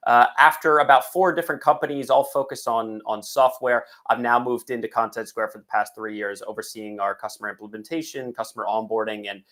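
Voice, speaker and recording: A man's voice: medium pitch, fairly even intonation, brisk, hardly any pauses, a bit bright. An American man, a guest on a webinar interview, talking in an upbeat way with frequent fillers and restarts. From United States. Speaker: guest, onboarding executive.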